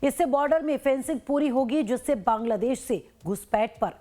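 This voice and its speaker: A woman's voice: sits high, a little fast, mellow. A woman reading scripted news as an anchor.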